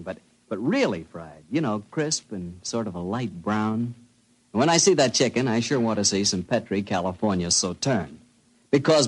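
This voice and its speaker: A male American radio announcer in the 1940s manner, with a very lively, swooping delivery. He is chummy and folksy, leaning on words like a practiced pitchman.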